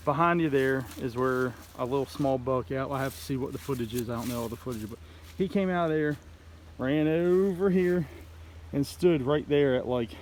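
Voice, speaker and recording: A man telling a story casually in a halting, rambling way, like an outdoor vlog host talking to the camera. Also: fairly high pitch, darkish tone. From United States. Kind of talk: casual play-by-play hunting storytelling. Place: outdoors in woods by a creek. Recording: noisy outdoor ambience.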